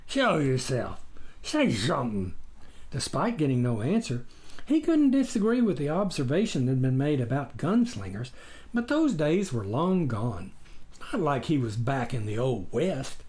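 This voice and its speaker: A man reading a story as scripted narration, in a deliberate, expressive audiobook style. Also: a little high. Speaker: author reading own memoir.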